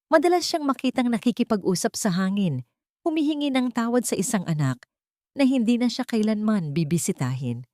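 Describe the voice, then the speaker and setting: A woman narrating a melodramatic audio story in a scripted storytelling style. Her delivery is expressive, and her pitch swings up and down for emphasis. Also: a little fast, no room sound, studio quality.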